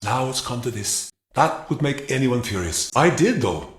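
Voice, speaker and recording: A man playing an earnest, remorseful captive in a video game, speaking with a halting, dramatic manner. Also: mid-range voice, expressive, lightly acted. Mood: regretful. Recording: roomy, echoing space.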